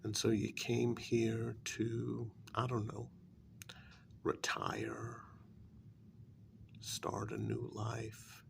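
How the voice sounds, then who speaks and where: A menacing male kidnapper acted by a man in an ASMR role-play, speaking softly in a low voice full of heavy fry. He goes extremely slowly, with sardonic, deliberate pauses and drops into whispers. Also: a little hiss or hum, exaggerated voice. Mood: musing, sardonic. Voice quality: gravelly, breathy soft voice dropping into whispers. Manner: slow, deliberate, halting, sardonic.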